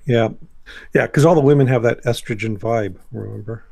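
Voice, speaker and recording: An older man, a guest on a panel, explaining things in a measured, matter-of-fact, conversational way. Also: mid-range voice, creaky, a little mellow. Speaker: guest, academic.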